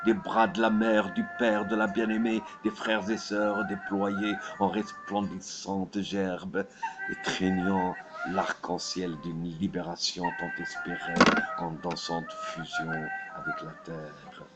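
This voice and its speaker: A man reciting his own poem in a solemn, declamatory way, with measured phrasing. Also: low voice, sing-song. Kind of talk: solemn poetry recitation with a dedication. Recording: voice over prominent flute music. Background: flute music.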